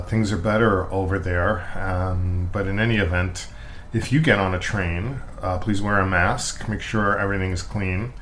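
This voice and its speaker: A man's voice: low voice, fairly bright tone, slight background noise. An American man hosting a podcast, talking in a chatty, enthusiastic way and reading his own ads. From United States. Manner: chatty, lots of "uh" fillers, enthusiastic. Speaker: podcast host. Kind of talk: casual interview and ad read.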